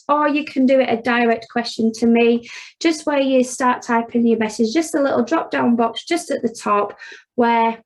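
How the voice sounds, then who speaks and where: A woman with a Yorkshire accent, an accounting tutor leading a live online revision class, talking casually and filling gaps with "okay" and "um". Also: high voice. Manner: chatty, frequent "okay" and "um", talks students through steps.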